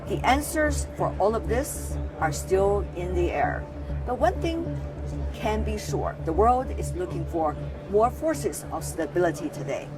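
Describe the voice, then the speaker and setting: A woman's voice: medium pitch, big pitch swings, a little slow, recorded from a distance, music in the background, low-quality recording. A woman news host delivering scripted narration in a news report.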